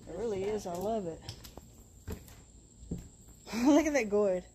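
A woman's voice: mid-range voice, lively intonation, takes it slowly, warm tone. Woman filming herself in an old empty house, chatty, cussy, faint hiss behind her. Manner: chatty, swears freely, thinks aloud. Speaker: urban explorer vlogger. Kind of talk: casual walkthrough narration. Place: abandoned wooden house, indoors and on porch.

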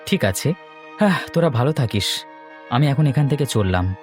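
A man's voice: medium pitch, expressive, moderate pace, faint music behind, natural voice. A man voicing an earnest, heartbroken young lover with a reproachful manner in a cartoon. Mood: wounded, resigned. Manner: reproachful, wounded. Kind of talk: melodramatic cartoon acting.

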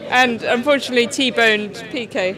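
A woman chats casually and gleefully as an interviewee. She is outdoors, with clear crowd chatter behind her. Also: a little high, moderate pace, low-quality recording. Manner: cheeky, gleeful. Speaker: club sailor being interviewed. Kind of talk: casual chat. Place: outdoor covered deck. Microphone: handheld interview microphone.

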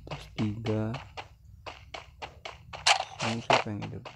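A young man talks to his viewers in an unhurried, drawn-out way, his voice hoarse from a lingering cough. He keeps calling out to the audience in loose, slangy chatter. The recording carries clear background noise. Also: fairly low pitch, monotone. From Indonesia. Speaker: gaming YouTuber. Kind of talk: casual game commentary.

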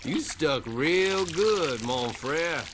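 An actor playing a cocky, taunting escaped prisoner with a Louisiana Cajun accent in a video game. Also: male voice, fairly high pitch, animated, extremely slow, noisy background. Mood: cocky, teasing. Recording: loud music over the voice.

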